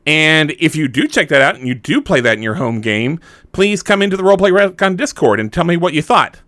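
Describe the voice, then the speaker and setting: A man's voice: fairly high pitch, lively intonation, brisk, a bit bright, no room sound, clean studio sound. A man hosting a podcast, making casual announcements to listeners in an informal, chatty, self-deprecating way. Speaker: podcast host.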